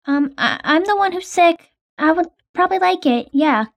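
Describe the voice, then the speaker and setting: Cast: woman as a nervous sick young woman, very high voice, stammering game roleplay. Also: expressive, normal speed, vocal fry, exaggerated voice. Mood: worried. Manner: hesitant, full of ums and stammers. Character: sick, timid young woman fearing a virus. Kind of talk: improvised game roleplay voice-over.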